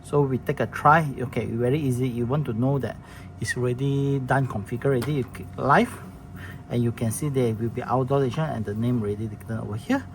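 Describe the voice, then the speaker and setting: A man's voice: medium pitch, a little fast. A man walking viewers through a product setup, talking plainly with many restarts and fillers. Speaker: product demonstrator. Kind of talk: casual product demo walkthrough. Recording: noisy room recording.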